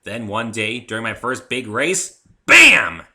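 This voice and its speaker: A man's voice: medium pitch, very animated, takes it slowly, vocal fry, sharp tone, voice pushed up, exaggerated voice. A man voicing a brash, boastful young female pegasus pony racer in a dramatic story reading, cocky and emphatic. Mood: boastful, excited. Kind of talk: dramatic reading of fan fiction.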